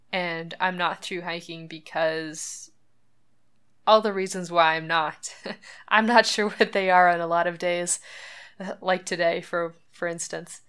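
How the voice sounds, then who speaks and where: Smooth low-voiced podcast host woman. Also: fairly bright tone. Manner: earnest, chatty, with light laughs. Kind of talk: scripted podcast monologue.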